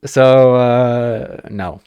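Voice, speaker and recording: A man's voice: medium pitch, fairly even intonation, extremely slow, smooth, no echo, lo-fi sound. A young man from Ukraine chatting casually on a podcast, given to sarcastic jokes and asides. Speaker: podcast host.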